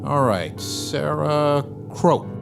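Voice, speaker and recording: An actor playing a businesslike lawyer who lays out case details in a measured way. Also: male voice, a little high, lively intonation, gravelly, music in the background, exaggerated voice. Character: calm lawyer advising a couple.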